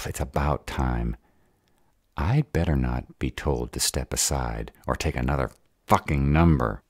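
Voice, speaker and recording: A man voicing a gruff, protective, short-tempered were-dragon in an audio roleplay, grumbling and sarcastic in a gravelly voice. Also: measured pace, no echo, exaggerated voice. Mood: exasperated, angry. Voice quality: deep, gravelly.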